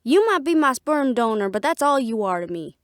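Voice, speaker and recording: A woman's voice: fairly high pitch, sing-song, a little fast, no echo. A woman playing a defiant, fiercely independent half-fae daughter, blunt and sarcastic, in a fantasy audio drama. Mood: outraged. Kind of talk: fantasy audio roleplay acting.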